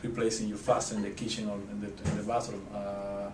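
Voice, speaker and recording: A man with a Cuban Spanish accent, a handyman answering interview questions in a halting way, full of fillers and restarts. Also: fairly low pitch, completely flat delivery. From Cuba. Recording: roomy, distant mic, ambient noise.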